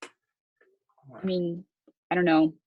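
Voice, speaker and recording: A woman's voice: on the low side, little pitch movement, extremely slow, no echo. A woman, a student, talking hesitantly on a video call and filling her talk with "like". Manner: hesitant, many likes. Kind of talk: casual discussion. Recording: dull lo-fi sound.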